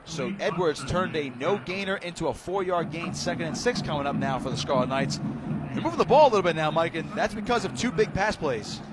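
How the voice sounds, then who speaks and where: A high-pitched American man calls football live on the radio. He describes each play in excitable, clipped phrases, with clear stadium noise around him. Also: a little fast. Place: press box high above a stadium. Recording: loud stadium noise, outdoor broadcast.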